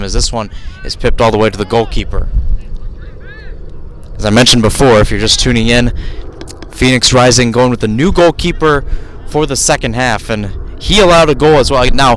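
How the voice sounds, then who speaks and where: Male radio soccer commentator, mid-pitched voice, live outdoor call, digressive and self-correcting. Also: a bit bright, slight background noise, low-quality recording. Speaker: radio play-by-play announcer. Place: outdoors at youth soccer fields.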